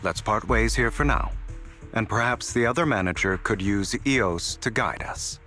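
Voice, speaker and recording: Game role: theatrical gentleman thief, lowish and crisp-bright, polished and eloquent, music underneath. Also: moderate pace. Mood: calm, calculating. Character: suave, theatrical young male gentleman thief. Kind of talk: dramatic video game voice acting.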